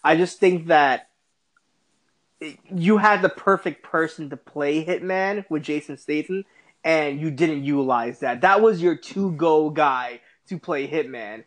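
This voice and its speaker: A man's voice: fairly high pitch, a little slow, fairly bright tone. A man co-hosting a podcast, chatting casually about films. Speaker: podcast co-host.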